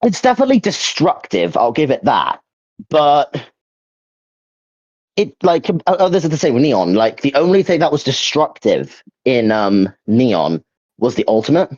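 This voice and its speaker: A dull, lo-fi recording of a young man giving casual gaming opinions. His pitch moves around a lot and is very expressive. He often stumbles and restarts as he hunts for the right words. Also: normal speed. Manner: hesitant, searching for words, repeats himself. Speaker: video game ranking host. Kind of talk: casual opinion chat about video games. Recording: dull, lo-fi mic.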